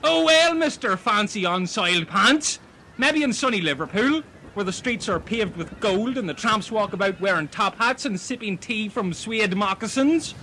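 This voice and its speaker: A man voicing an excitable, hot-headed Irish castaway who swears a lot. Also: sits high, normal speed, a little hiss or hum, pitched above the performer's own voice, heavily acted, low-quality recording. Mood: pleading.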